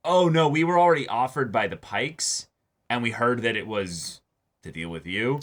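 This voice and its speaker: A man's voice: mid-range voice, lively intonation, normal speed, a bit bright. A man co-hosting a reaction show in casual chat, often repeating words and acting out imagined lines. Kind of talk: casual chat discussing a TV episode.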